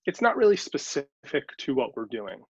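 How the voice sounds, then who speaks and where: A man's voice: mid-range voice, sing-song, moderate pace, husky, dry recording. A man answering interview questions as an expert, explaining things patiently, step by step with examples. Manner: teacherly, walks through examples step by step. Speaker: sports scientist guest. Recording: dry, slightly dull audio.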